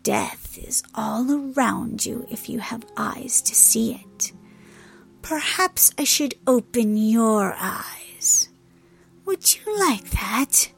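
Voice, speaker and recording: A woman playing a cruel, menacing goddess of the dead in an audiobook, with a raspy, whispery hiss and sardonic delivery. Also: a little high, heavily acted. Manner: sneering, sardonic, drawn-out menace.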